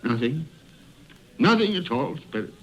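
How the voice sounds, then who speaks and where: Regretful old miser, highish voice, wide swoops, halting, 1930s radio acting, muffled recording. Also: male voice, very animated, a bit bright. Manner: halting, repetitive, emotional delivery. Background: music.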